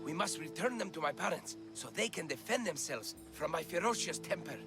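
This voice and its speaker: Pompous high-voiced young son. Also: male voice, animated, fairly quick, over music. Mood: self-important. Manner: self-important, melodramatic. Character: pompous, deluded young son, mock-ferocious, prophecy-obsessed. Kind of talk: video game cutscene acting.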